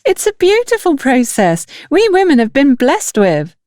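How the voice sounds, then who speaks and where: Woman voicing a cheerful high-pitched chatty village sister-in-law. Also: huge pitch swings, moderate pace, a little mellow, no room sound, clean studio sound. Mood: chatty, pleased. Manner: bubbly, gushing, sociable.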